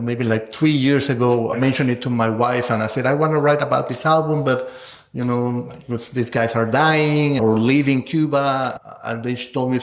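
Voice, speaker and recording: Middle-aged man in a very echoey room. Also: mid-range voice. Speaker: guest scholar interviewed about research. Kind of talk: reflective conversational interview. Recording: roomy, echoing sound.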